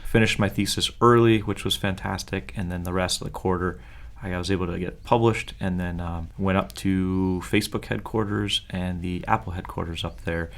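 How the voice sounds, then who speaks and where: A man's voice: low-pitched, fairly even intonation, moderate pace, creaky. A man co-hosting a podcast, talking casually and earnestly with frequent fillers. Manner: talkative, earnest, many fillers. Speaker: podcast co-host.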